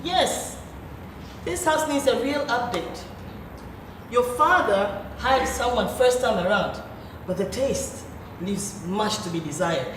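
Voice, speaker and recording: Woman acting haughty scheming wife, highish voice, deliberate theatrical delivery, very noisy stage recording. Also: animated, heavy reverb, recorded from a distance. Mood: smug, haughty. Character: imperious, scheming estranged wife and mother of grown children.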